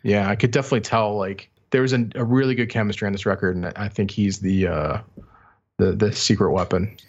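A man co-hosting a casual music podcast, talking thoughtfully and haltingly, correcting himself, on a slightly dull recording. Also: on the low side, steady tone. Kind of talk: casual album discussion.

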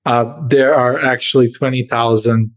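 Earnest founder from Serbia on a podcast. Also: male voice, medium pitch, steady tone, normal speed. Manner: earnest, many fillers and restarts, pace shifts. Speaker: guest, company founder. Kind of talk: interview answers.

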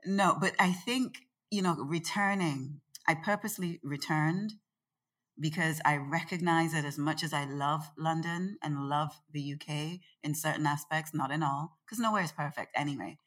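A woman with a very low voice talks as a guest in a relaxed interview. She is expressive and chatty. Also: normal speed. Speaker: guest, organization founder. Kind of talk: casual conversational interview. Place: in Nevis.

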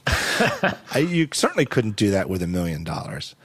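A man with a very low voice that swoops up and down a lot as he tells stories, sounding a little hoarse. He rambles, restarting and correcting himself often. The recording is dry and close, with no room sound. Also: wildly swooping pitch, a little slow, a bit bright, no room sound. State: slightly hoarse. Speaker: podcast host. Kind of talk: casual chat.

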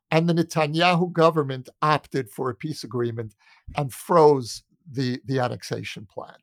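An American man in an earnest political discussion, speaking as a guest with a deliberate, emphatic manner that repeats phrases for weight. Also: mid-range voice, no echo. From United States. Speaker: journalist and author interviewed as guest.

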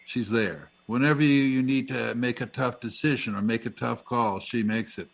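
A man co-hosting a casual talk radio show, hesitant with many uhs, trailing off softly. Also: mid-range voice, normal speed, creaky.

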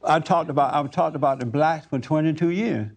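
A man's voice: fairly high pitch, fairly quick, creaky. A man hosting an informal preaching discussion, speaking in a folksy, plainspoken way with loose grammar. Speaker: host leading a group discussion. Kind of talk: informal preaching talk with audience questions.

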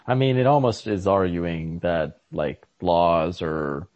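A low-voiced man chats about books as a podcast co-host. He feels his way haltingly through each idea with an amused, casual air. The sound is lo-fi and very dry, with no room around the voice. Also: lively intonation, a little mellow, no room sound. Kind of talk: casual literary discussion. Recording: lo-fi, dry close recording.

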